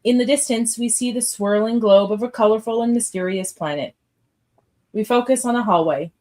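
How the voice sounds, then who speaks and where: A woman's voice: fairly high pitch, moderate pace, darkish tone. A woman reading stage directions as the narrator of a live script read.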